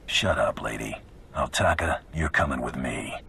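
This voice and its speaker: An actor playing a terse, sarcastic bounty hunter in a video game cutscene. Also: male voice, extremely low pitch, moderate pace, sharp tone, slight background noise. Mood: sardonic. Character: gruff mercenary bounty hunter, blunt and unimpressed.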